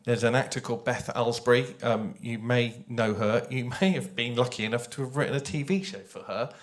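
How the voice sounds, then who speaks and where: A man speaking off the cuff as a writer on a live panel, thoughtful and halting, talking into a microphone. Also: medium pitch. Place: live panel at a games festival. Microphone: handheld or shared panel microphone.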